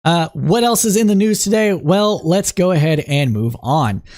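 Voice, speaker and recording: A man with a slightly raspy voice hosting a call-in political news podcast, explaining the week's news and reading reports aloud, with frequent fillers and restarts. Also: fairly high pitch, expressive, normal speed, a little mellow. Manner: thinking aloud, many fillers and restarts, reads news excerpts aloud. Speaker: podcast host.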